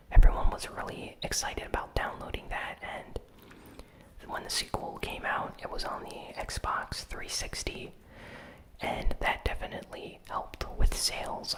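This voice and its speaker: A person whispering a casual ASMR gaming news recap in a breathy, unvoiced, soft-spoken and informal way. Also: rough recording. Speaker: host of a gaming news show.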